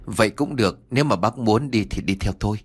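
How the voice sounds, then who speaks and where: A man's voice: on the low side, quick pace, husky, faint music behind. A man voicing an older, hospitable village chief who speaks earnestly and plainly in a told story. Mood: hurried, polite.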